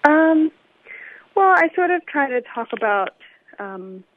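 A woman's voice: sits high, sing-song, slow, no room sound. Young woman on a phone line. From Illinois, United States. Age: young adult. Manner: thoughtful, hesitant with many ums. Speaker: musician guest. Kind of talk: phone interview. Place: New York City.

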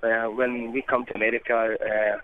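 A man's voice: medium pitch, flat delivery, normal speed, a little hiss or hum. A Scottish man, a musician guest, answering a phone interview from a restaurant in a brief, easygoing way. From Scotland. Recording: tinny phone line from a restaurant.

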